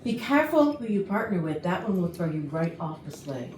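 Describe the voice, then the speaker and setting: A woman's voice: on the low side, very animated, fairly quick, a little mellow. A woman playing an arch, condescending office worker in a staged comedy reading. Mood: snide. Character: snippy, condescending female office worker at Christmas party. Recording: roomy distant rehearsal recording, faint noise.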